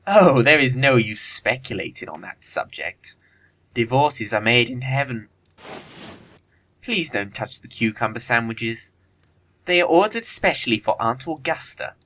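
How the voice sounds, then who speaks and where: A man acting a witty, teasing young English gentleman in an audio play of a period comedy, with an arch, theatrical delivery. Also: medium pitch, lively intonation, unhurried. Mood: breezy.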